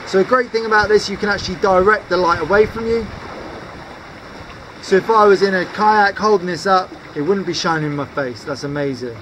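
Upbeat high-voiced man outdoors by the sea. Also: fairly quick, a bit bright, a little hiss or hum. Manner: upbeat, practical, direct. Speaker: sea kayaking instructor. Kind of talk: outdoor gear demonstration to camera. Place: outdoors on coastal rocks by the sea.

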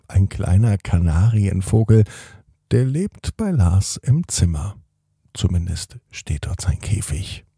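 A man hosting and narrating a children's bedtime story in an expressive manner, speaking directly to young listeners. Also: lowish voice, vocal fry, slightly rough, no room sound, studio quality. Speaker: podcast host and storyteller.

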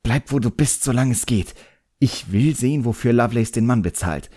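A man's voice: medium pitch, animated, normal speed, mellow, breathy edge, no room sound, studio quality. A man reading the part of an impatient, commanding boy apprentice sorcerer, curt and demanding, in an audiobook.

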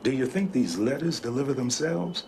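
A man's voice: mid-range voice, lively intonation, moderate pace, gravelly, background noise. An actor playing an enigmatic, weary mailman in a video game, with a folksy, knowing American manner. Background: background music.

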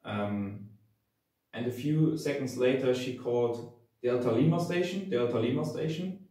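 A man's voice: medium pitch, unhurried, dark tone. A man in his thirties with a German accent, casually recounting to camera as an amateur radio vlogger. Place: small sitting room, indoors. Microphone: camera a few metres away. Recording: echoey room, distant camera mic.

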